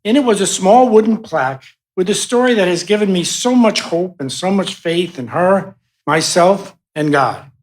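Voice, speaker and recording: A man acting in a radio play as a grieving, hopeful young son giving an earnest, measured eulogy for his mother. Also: sits high, lively intonation, poor audio. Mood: earnest, tender.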